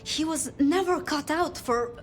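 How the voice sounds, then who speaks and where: A gentle, anxious vampire woman from a video game. She speaks slowly in a fairly high voice, hesitant and earnest, with faint music behind her. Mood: pleading. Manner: hesitant, earnest, flustered, polite. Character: gentle, anxious, idealistic young female vampire.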